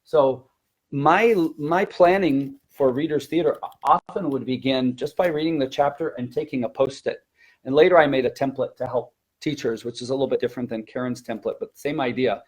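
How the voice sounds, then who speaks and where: A man's voice: medium pitch, fairly quick, darkish tone, husky. A man who teaches languages, talking conversationally as he explains classroom technique in a webinar, repeating words for emphasis.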